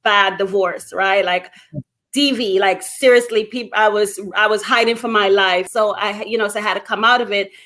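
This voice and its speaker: A woman's voice: medium pitch, fairly even intonation, normal speed, fairly bright tone. A middle-aged woman from Guyana and Brooklyn, speaking as an interview guest in an emphatic, conversational storytelling way. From Guyana and Brooklyn, New York. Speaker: interview guest, anti-gun violence activist.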